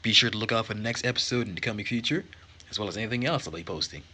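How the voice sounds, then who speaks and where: A man reading a visual novel aloud as host and narrator, with measured, theatrical phrasing. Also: on the low side, pushed and bright, slight background noise. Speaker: let's play host and narrator.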